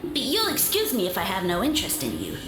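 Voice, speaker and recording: Pompous mushroom sage lord. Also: female voice, mid-range voice, wildly swooping pitch, fast talker, reverberant. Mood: condescending. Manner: haughty, condescending, grandiose. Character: pompous self-proclaimed fungus sage lord.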